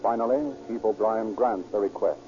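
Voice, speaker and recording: A man's voice: fairly low pitch, normal speed, a little hiss or hum. A man delivering scripted, dramatic narration in the style of a 1940s radio crime show. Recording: muffled vintage radio recording, loud music. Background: music.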